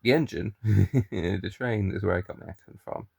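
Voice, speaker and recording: Young man, mild Irish accent, rambling vlog chat, deep creaky voice. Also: moderate pace, a little mellow, no room sound, studio quality. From Ireland. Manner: rambling, chatty, frequent restarts. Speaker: daily vlogger. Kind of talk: casual chat to camera.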